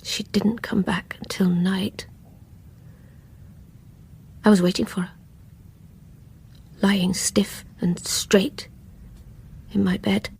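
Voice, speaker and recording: An actress playing a troubled, guilt-ridden woman narrating her dark secret in a psychological radio drama, intimate and halting, often dropping to a whisper. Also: mid-range voice, little pitch movement, creaky, husky, exaggerated voice. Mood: haunted, brooding. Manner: haunted, intimate, halting delivery.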